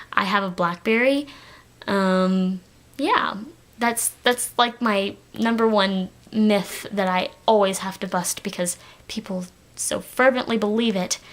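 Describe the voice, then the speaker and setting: A teenage girl with a light Texan accent talks straight to her camera in a loose, rambling way. Her voice sits at an ordinary middle pitch, and she fills her sentences with ums and quick restarts. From Texas, United States. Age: teens. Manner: chatty, rambling, many fillers and restarts. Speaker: vlogger. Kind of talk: casual talk to camera.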